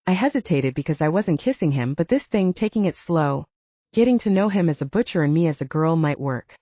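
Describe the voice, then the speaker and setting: Female audiobook narrator for first-person fiction. Mellow, animated intonation, dry and slightly lo-fi capture. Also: low voice, brisk, no room sound.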